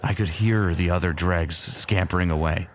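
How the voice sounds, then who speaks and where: A man's voice: deep voice, steady tone, moderate pace, slight background noise, close to an everyday voice. A man playing a smitten, teasing sci-fi warrior who narrates his own exploits in a fan audio drama, casual and wry. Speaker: narrator and lead character.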